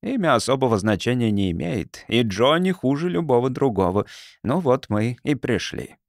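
A man voicing a brisk, patronizing, smooth-talking mysterious man from the future in an audiobook. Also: mid-range voice, very animated, no room sound, clean studio sound. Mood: amused, brisk.

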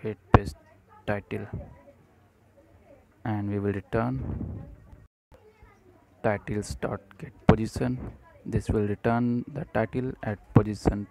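A man presenting an unscripted screencast coding tutorial in short, halting phrases. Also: lowish voice, little pitch movement, creaky, warm tone, rough recording.

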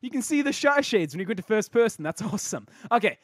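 A man with a slightly croaky voice hosting casual, jokey video game commentary in a self-interrupting way. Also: high-pitched, sing-song, rapid-fire, no echo, clean studio sound. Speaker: host of a game replay showcase.

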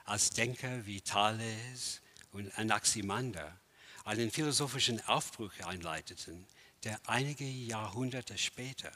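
Lecturer-performer, man in his 60s, native English speaker, lowish voice with a sharp bright edge, declaiming in a big empty gallery. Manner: measured, declamatory delivery with dramatic swells and hushed drops. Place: large empty art gallery hall, indoors.

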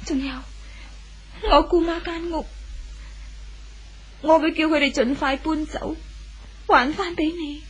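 A remorseful young wife talks hesitantly in an extremely high voice. Her accent is Hong Kong Cantonese, and the delivery is that of 1970s radio drama. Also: fairly even intonation, slow pace, long pauses, rough recording. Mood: subdued, hesitant.

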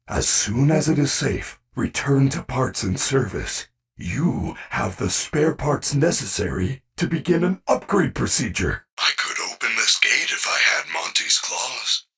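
A man's voice: medium pitch, creaky, bright, forceful tone, husky, distant mic, full character voice. A man voicing a friendly, caring animatronic bear in a video game, speaking in formal, precise, earnest sentences. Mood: earnest, urgent. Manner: formal, precise wording without contractions, earnest. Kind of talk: video game character voice acting.

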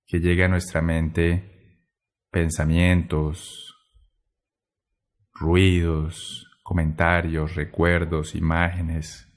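A man guiding a meditation in a gentle, measured way, pausing between short phrases. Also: low voice. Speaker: meditation guide. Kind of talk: guided meditation narration.

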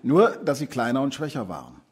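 An earnest policeman, reports to his superior in a crime radio drama. The voice is husky and of middling pitch. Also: big pitch swings, brisk. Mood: matter-of-fact.

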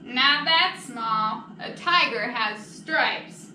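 A woman's voice: a little high, expressive, drawn-out words, pushed and bright, pitched below the performer's own voice, full character voice. A woman voicing a skeptical, bossy raccoon in a picture-book read-aloud, doubting and emphatic, in an echoey room. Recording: echoey room, distant mic, noisy.

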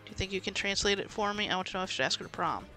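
A man voicing a nervous teenage boy asking for help with a note from his crush, talking fast over background music. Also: sits high, sharp tone, low-quality recording. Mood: anxious. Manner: rushed, anxious.

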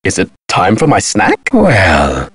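Addled male scholar, courtly, theatrical, lively swooping pitch, dry studio-close sound, game character. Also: takes it slowly, husky, dry recording, heavily acted. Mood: addled, genial. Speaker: injured patient character in an adventure game. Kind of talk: video game character dialogue.

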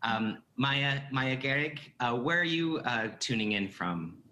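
A man's voice: mid-range voice, unhurried, sharp tone, a little hiss or hum. A man in his thirties with an American accent, chattily moderating a panel over a video call. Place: indoor room at home on a video call. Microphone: computer video call.

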